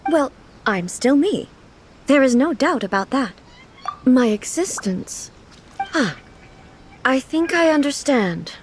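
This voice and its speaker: A woman playing a dignified, earnest and somewhat naive ruling deity in a video game, speaking in a measured, formal, contemplative way. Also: high-pitched, very animated, a little hiss or hum, full character voice. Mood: reflective. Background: game music.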